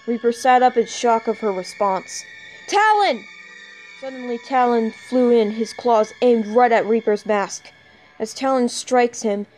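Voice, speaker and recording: A woman narrates a fan story in a fairly high voice. She reads from a script and trips over words now and then, with soft music underneath. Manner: stumbles over written words.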